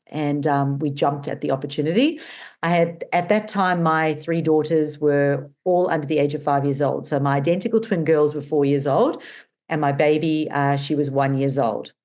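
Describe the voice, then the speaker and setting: A middle-aged woman with a mixed South African and Australian accent, talking as an interview guest. Her voice sits low for a woman. She tells her story in an animated, chatty way, full of fillers. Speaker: guest, business owner. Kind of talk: casual interview chat.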